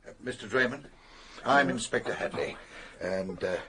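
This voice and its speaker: An actor playing a brisk, authoritative police inspector in a radio drama, asking direct questions in a businesslike way. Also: male voice, medium pitch, noisy background, natural voice.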